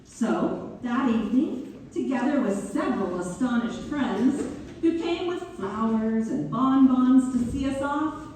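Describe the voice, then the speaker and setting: Mellow genteel lady storyteller played by a woman, echoing. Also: high voice, lively intonation, unhurried. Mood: reminiscing. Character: Mabel, genteel female pianist turned writer, fondly reminiscing. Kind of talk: theatrical first-person narration, read-through. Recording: distant mic in very echoey hall, faint noise.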